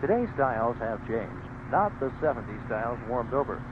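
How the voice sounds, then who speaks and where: A male announcer reads a scripted advertisement in a mid-pitched voice. The recording is a narrow, tinny old AM radio signal with clear noise over it. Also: big pitch swings, normal speed.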